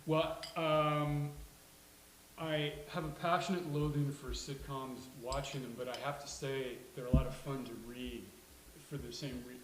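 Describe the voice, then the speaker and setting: A man speaking as an actor on a casual live panel, halting and wry as he explains himself. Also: mid-range voice, flat delivery. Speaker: actor discussing a table read. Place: live venue with a small studio audience. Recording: echoey room with hiss.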